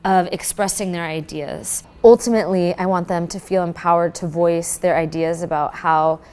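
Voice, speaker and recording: Lower-voiced woman teacher explaining, music under. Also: fairly even intonation, a little mellow, clear, resonant tone. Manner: explanatory, measured. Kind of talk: documentary interview narration.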